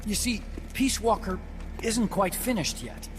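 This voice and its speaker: An actor playing a nervous, guilt-ridden young scientist in a game cutscene, explaining quickly and at times slipping into subdued hesitation. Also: male voice, high-pitched. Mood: briefing. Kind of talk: video game cutscene acting. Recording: music playing underneath.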